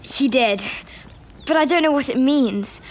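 A woman's voice: sits high, measured pace, pitched above the performer's own voice. A woman voicing an earnest, anxious, prim schoolgirl in an audiobook. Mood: earnest, defensive.

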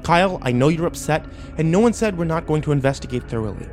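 A man's voice: a little high, animated, fast talker, a little mellow, pitched above the performer's own voice. Man acting a stern, weary small-town sheriff. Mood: placating, firm. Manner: authoritative, firm, explaining. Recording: music behind.

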